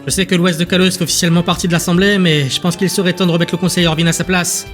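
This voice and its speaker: A man's voice: high-pitched, little pitch movement, rapid-fire, a bit bright, pitched above the performer's own voice. A man voicing a haughty woman councillor, formal and full of scorn, in an audio drama. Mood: contemptuous. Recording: music bed behind the voice.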